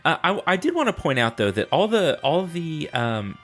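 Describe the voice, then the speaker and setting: A man's voice: fairly high pitch, big pitch swings, normal speed, a bit bright, faint music behind. A man hosting a podcast in casual, rambling solo chat with playful, self-mocking asides. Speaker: podcast host.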